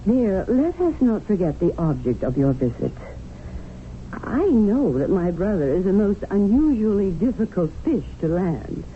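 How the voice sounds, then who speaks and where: Radio-drama memsahib: worldly, managing, lecturing Englishwoman, expressive pitch, narrow hissy sound. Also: unhurried. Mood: matter-of-fact. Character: pragmatic, domineering English widowed memsahib in colonial India. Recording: tinny, noisy old radio broadcast.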